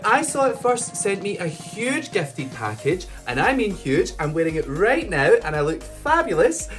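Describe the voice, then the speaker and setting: A drag queen with a Glaswegian Scottish accent walks the listener through a makeup routine, his voice sitting somewhat high for a man. He is playful and upbeat while giving clear step-by-step directions. Soft music plays quietly behind him. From Glasgow, Scotland. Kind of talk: makeup tutorial.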